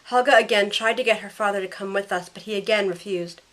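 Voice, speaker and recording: A woman's voice: medium pitch, fast, a bit bright, lightly acted. A woman playing a caring young heroine who narrates her own ordeal in a scripted first-person audio drama. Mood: tense narration.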